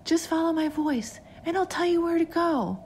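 Woman acting out high, coaxing ghostly little girl. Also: lively intonation, a little mellow, a little hiss or hum. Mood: pleading, coaxing. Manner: drawn-out, coaxing, persuasive. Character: sinister spirit posing as a sweet, coaxing little girl.

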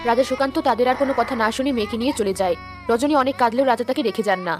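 A woman narrating a children's cartoon fairy tale in a plain, steady way. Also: on the high side, rapid-fire. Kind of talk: storybook narration. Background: background music.

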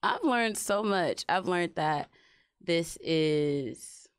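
Young woman from Guyana, guest singer, dry close studio sound, relaxed and candid. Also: lowish voice, extremely slow, clean tone, no echo. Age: young adult. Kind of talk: casual interview. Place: small radio studio. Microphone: studio boom mic.

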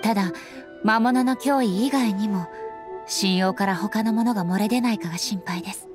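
An actress playing a solemn, composed female ruler in an anime game, speaking formally and earnestly with measured politeness. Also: mid-range voice, over music, natural voice. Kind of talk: scripted anime game dialogue.

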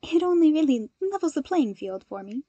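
Woman voicing an earnest, animated young man. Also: high-pitched, moderate pace, no room sound, highs cut off, pitched above the performer's own voice. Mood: wry, animated. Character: earnest, cheerful, analytical young man, teasing agency secretary.

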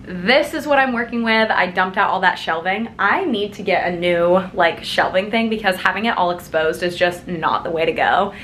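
A woman's voice: fairly low pitch, moderate pace, hardly any pauses, fairly bright tone. An American woman in her twenties chatting casually as a vlogger. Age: 20s. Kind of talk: casual vlog chat. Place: indoors at home, rooms and kitchen. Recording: roomy home echo, some background noise.

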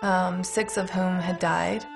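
A woman's voice: lowish voice, steady tone, slow pace, creaky. A woman explaining history as an interviewee in a documentary. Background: music.

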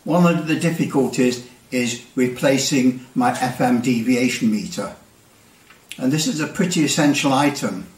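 A man's voice: medium pitch, moderate pace. An older man in his seventies with a British accent, casually explaining technical things to camera and sometimes repeating his words. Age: older, around 70s. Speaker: presenter of a CB radio channel. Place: small indoor workshop with bare brick walls. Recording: roomy echo, distant microphone.

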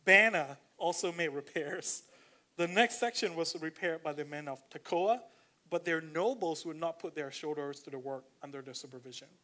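A pastor with a Malaysian accent delivers a relaxed, conversational sermon in a church, reading scripture aloud. His voice sits somewhat high for a man. He talks with plenty of fillers and slows down when he wants a point to land.

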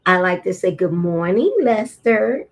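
A middle-aged American woman, an early childhood teacher, giving an informal video message to parents and toddlers in a deliberate, halting, sometimes singsong way. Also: lowish voice. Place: small indoor room at home. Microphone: laptop webcam at arm's length.

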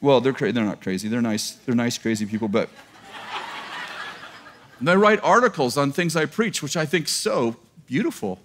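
A man's voice: mid-range voice, expressive, moderate pace, fairly bright tone, a little hiss or hum. A man in his fifties preaching a sermon in a large church auditorium to a live congregation, talking in a casual joking way and laughing at his own lines. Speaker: pastor. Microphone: headset mic.

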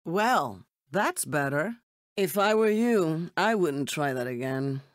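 An actress voicing a cool, poised female secret-agent assistant in a superhero video game, measured, composed and formal. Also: sits low, animated, dry recording, studio quality. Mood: cool, pleased. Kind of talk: scripted game voice clips.

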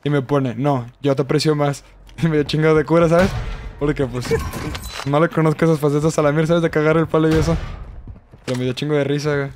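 A young Mexican man streams a shooter in a slightly high, hoarse voice. He talks fast in slangy, sweary casual chat, with gunfire and clicks behind him. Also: steady tone, darkish tone, a little hiss or hum. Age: young adult. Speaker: streamer playing a shooter game. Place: small indoor gaming room.

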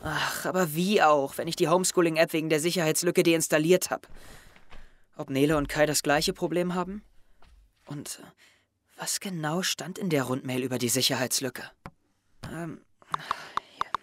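A man's voice: on the high side, dry recording, lightly acted. A young male actor playing a worried, defensive teenage schoolboy in an audio drama, speaking hesitantly. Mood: worried, musing. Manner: hesitant, halting. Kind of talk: scripted audio drama dialogue. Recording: music under the voice. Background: background music.

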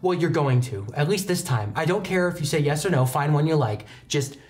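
A man's voice: fairly high pitch, expressive, brisk, a little mellow, lightly acted. A man voicing a stern, disgruntled mother in a tabletop game, scolding in an exasperated, clipped way. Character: stern, disgruntled mother of a village noble house.

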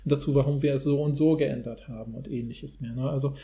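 A man's voice: medium pitch, steady tone, fast, creaky, dark tone. A man in a casual analytical podcast discussion, talking in a rambling, academic way.

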